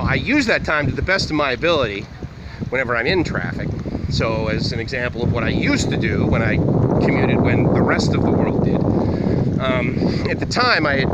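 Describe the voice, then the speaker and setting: A man's voice: mid-range voice, lo-fi sound. A man talking casually to camera while walking outdoors, in a rambling, digressive way, often correcting himself. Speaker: vlogger. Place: outdoors, walking through a university campus. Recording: noisy outdoor recording with wind.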